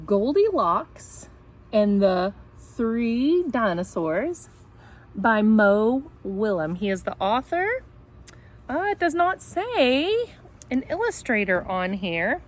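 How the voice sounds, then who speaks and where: A woman teacher talking casually and reading a picture book aloud, with a chatty, rambling, self-deprecating way of speaking. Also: mid-range voice. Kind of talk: casual vlog chat and storybook read-aloud. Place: outdoors in sunshine. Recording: homemade outdoor recording with background noise.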